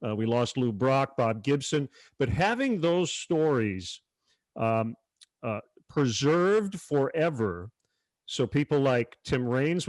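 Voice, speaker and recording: A man with a mid-pitched voice moderates a panel in a conversational manner. The sound is dry, with very little room around the voice. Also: dry recording. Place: virtual panel over video call.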